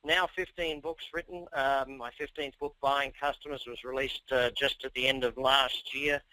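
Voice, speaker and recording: A man in his forties with an Australian accent, giving a business coaching webinar in an energetic, persuasive way and talking straight to his listeners. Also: medium pitch, normal speed, no room sound, poor audio. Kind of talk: webinar presentation. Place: office or home.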